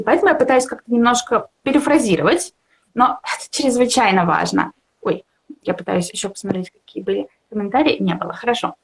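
Young woman teacher chatting on a live stream, kinda high voice, reflective, hunts for words, encouraging. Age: young adult. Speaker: language teacher and guide. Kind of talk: casual live discussion about language learning. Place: indoor room at home, video call.

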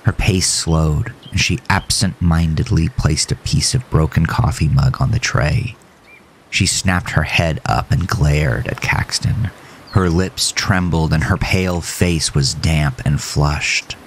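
A man narrating a scripted horror story in a measured, solemn way. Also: extremely low pitch, fairly even intonation, slight background noise, low-quality recording.

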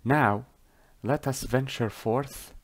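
A man whose first language is Arabic tells a religious story as a lesson, talking deliberately with many pauses and self-corrections. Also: medium pitch. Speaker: religious storyteller teaching on a whiteboard.

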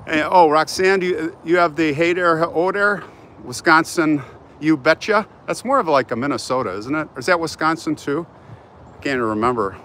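An older American man hosts a casual live stream, chatting as he walks and answering viewers. His voice sits a little high and has a bright, forceful edge. He is recorded outdoors on a handheld phone, with faint urban noise around him. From United States. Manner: chatty, jumping between remarks while walking. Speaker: vlog host. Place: outdoors in a large open cemetery. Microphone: handheld phone at arm's length. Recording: noisy outdoor urban ambience.

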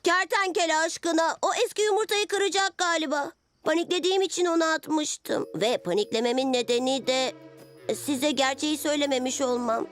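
A woman's voice: high-pitched, normal speed, soft background music, lightly acted. A woman voicing an earnest six-year-old boy superhero in a cartoon, plucky but anxious, speaking in exclamations. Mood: anxious, confessing. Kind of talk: cartoon dub acting.

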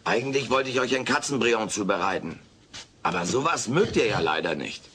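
A man's voice: on the low side, expressive, a little fast, a bit bright, a little hiss or hum. A man playing a wisecracking, meddling furry alien houseguest in a theatrical, mock-grand manner, in a dubbed sitcom audio play. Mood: jovial, showy.

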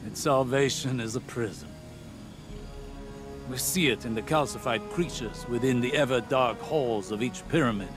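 An actor playing a grave, solemn male leader speaking as a hologram in a video game, with slow, measured delivery. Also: medium pitch, music in the background, lightly acted.

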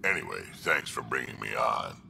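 An actor playing a gruff older alien grandfather with a deep gravelly growl, game audio with faint ambience. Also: very animated, moderate pace, bright, forceful tone, slight background noise, exaggerated voice. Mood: gruff fondness.

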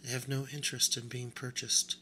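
A man voicing a proud, wounded male toy rabbit, speaking softly and with restraint. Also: little pitch movement, a little fast, slight background noise, natural voice, rough recording. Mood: proud, weary. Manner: soft, low and restrained.

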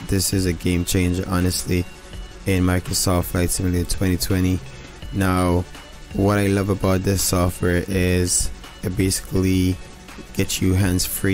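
An enthusiastic man casually narrating a demo as a video creator. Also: deep voice. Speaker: flight sim video creator. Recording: loud music behind voice.

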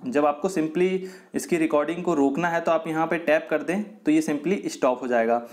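A man's voice: a little high, moderate pace. A man, explaining things to viewers as a tech video host in a chatty, repetitive way. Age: 20s. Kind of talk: explainer to camera. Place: small room indoors.

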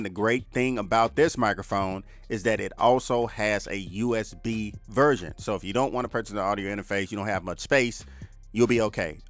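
A middle-aged man with a deep baritone voice, chatting casually to viewers while reviewing studio gear from his home studio. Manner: laid-back, chatty, addresses viewers directly. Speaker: music producer reviewing gear. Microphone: large studio condenser mic on boom, headphones on.

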